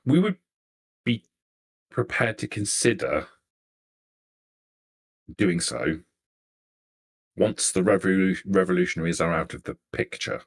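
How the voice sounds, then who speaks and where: A man acts out a poised vampire noblewoman in a tabletop game. The voice sits low and chooses words formally and deliberately, polite with cool menace underneath. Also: big pitch swings, vocal fry, exaggerated voice. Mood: guarded, calculating. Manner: formal, measured, deliberate diction. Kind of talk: improvised tabletop roleplay.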